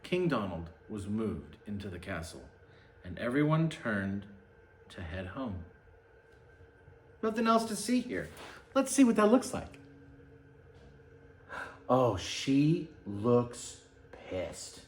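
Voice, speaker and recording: A man's voice: fairly low pitch, very animated, slow pace, pauses often. A man reading a storybook aloud as satire, mock-dramatic in the telling and dropping into sarcastic, casual muttered asides. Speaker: host reading a children's book aloud with mocking commentary. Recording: roomy, echoing sound.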